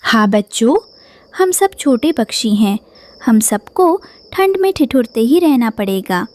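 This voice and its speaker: A woman voicing a gentle, worried mother bird in a cartoon, speaking politely and earnestly. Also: on the high side, big pitch swings, unhurried. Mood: reassuring. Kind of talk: Hindi cartoon dubbing.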